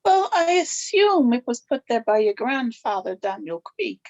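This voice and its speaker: A woman playing an older grandmother, gentle but firm and secretive, in a scripted table read. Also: fairly high pitch, sing-song, a little slow, dull audio. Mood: measured, reflective.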